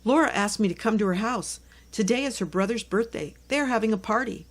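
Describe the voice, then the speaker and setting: A woman's voice: on the high side, a little fast, pitched above the performer's own voice, natural voice. Woman playing an eager, earnest young schoolgirl. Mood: excited, eager.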